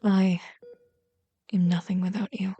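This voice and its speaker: A woman acts out a bitter villain hopelessly devoted to a hero's sidekick in an intimate ASMR roleplay. The delivery is slow and intense, at a middle pitch, often sinking into breathy whispers. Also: level tone, dark tone, lo-fi sound. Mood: tender, intense.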